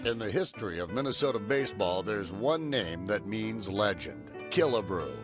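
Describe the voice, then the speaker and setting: A man reading a radio commercial in a slow, deliberate announcer style. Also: lowish voice, expressive. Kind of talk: radio ad read. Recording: guitar music bed.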